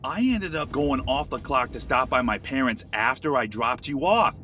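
An oblivious, chatty male rideshare driver in an audio drama. He rambles and gripes in a folksy, very animated voice. Clear vehicle noise runs behind him. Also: medium pitch, moderate pace. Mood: rambling, griping. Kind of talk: modern audio drama acting.

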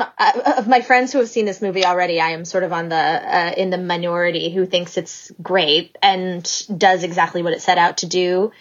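A woman podcast guest talks with eager enthusiasm, full of fillers. Her pitch is mid-range. The sound is a little dull and lo-fi. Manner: enthusiastic, chatty, many fillers. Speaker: guest, staff writer. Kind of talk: casual chat.

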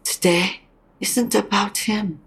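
An actress playing a frank, consoling older mother near death in an audio drama, speaking slowly and haltingly with a husky, creaky voice. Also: medium pitch, fairly even intonation, exaggerated voice. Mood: weary, insistent. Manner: slow, halting, probing. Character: dying mother, frank, consoling, stubbornly insistent.

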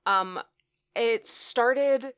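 A woman's voice: a little high, drawn-out words, a bit bright, smooth. A woman with partial hearing loss, chatting casually as a guest about audio editing, laughing often between fillers. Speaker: audio drama editor and guest. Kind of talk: casual conversation.

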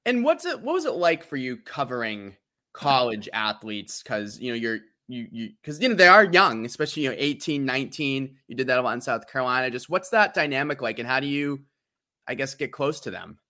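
A man in his late twenties hosting a casual interview, chatty and quick to laugh, with stumbling restarts. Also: mid-range voice, very animated, sharp tone. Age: 20s. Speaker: podcast host.

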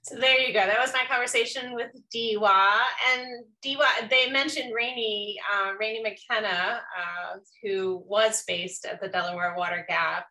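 A woman's voice: mid-range voice, fairly even intonation, normal speed, few pauses, pushed and bright, rough recording. A woman hosting an online conversation, talking loosely with many fillers and restarts. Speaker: host. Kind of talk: casual online panel chat.

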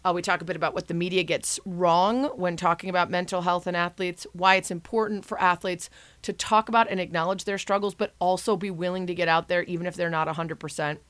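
A woman's voice: lowish voice, fairly even intonation, fast talker, fairly bright tone, dry recording. A woman hosting a podcast, chatting upbeat as she reads a listener review and interviews a guest. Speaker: podcast host.

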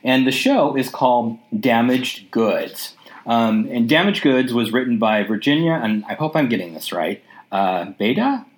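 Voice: medium pitch, lively intonation, measured pace. A podcast host wrapping up a casual interview, enthusiastic and prone to repeating words and stumbling.

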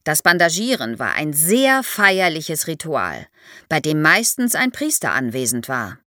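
A woman's voice: low-pitched, very animated, unhurried, pushed and bright, no echo, studio quality. A woman explains history to young listeners as an expert guest, in a didactic way, posing questions as she goes.

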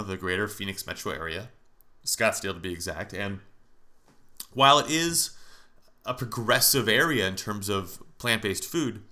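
A man's voice: fairly low pitch, bright, forceful tone. An American man hosting a solo podcast in a casual, conversational way, his voice nasal and stuffed up from allergies. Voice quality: nasal, congested. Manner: informal, conversational, mildly profane. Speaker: podcast host.

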